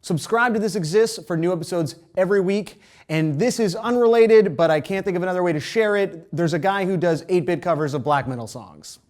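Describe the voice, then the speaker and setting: A man's voice: sits high, a little fast, a little mellow. A young Canadian man from Toronto hosting a music video series, casually answering viewer comments with jokey, sarcastic asides.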